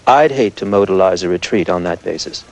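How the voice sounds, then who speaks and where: A man's voice: low voice, brisk. A man playing a blunt, skeptical scientific expert in a TV interview, dismissive and plain-spoken. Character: blunt, skeptical male nuclear research expert. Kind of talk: televised expert interview acting.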